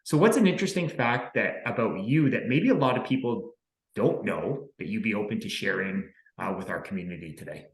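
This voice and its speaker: A man hosts a podcast in a lowish voice, earnest and upbeat. He leans on motivational sayings. The sound has a roomy echo. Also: animated, brisk, gravelly. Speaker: podcast host.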